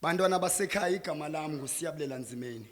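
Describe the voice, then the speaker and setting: Xhosa guy doing slam poetry live, high voice, really dramatic delivery, big echoey hall. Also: fast talker. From South Africa. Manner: impassioned, dramatic, swelling from hushed to loud. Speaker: slam poet performing on stage. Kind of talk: live spoken-word poetry, declamatory and rhythmic. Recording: live stage performance, reverberant hall.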